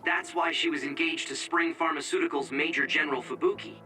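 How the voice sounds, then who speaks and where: A man's voice: on the high side, steady tone, a little fast, bright, forceful tone. A man playing a matter-of-fact squad member giving a report in a video game. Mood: informative. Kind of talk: English video game voice acting. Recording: distant-sounding, clear music underneath.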